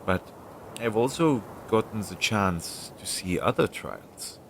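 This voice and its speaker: Very deep-voiced, anxious familiar played by a man. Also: expressive, fairly bright tone, full character voice. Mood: hesitant. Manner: hesitant, halting, fretful. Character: anxious, self-doubting magical familiar devoted to his master. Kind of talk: scripted audio roleplay, one-sided dialogue. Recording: low-fidelity audio with clear background noise.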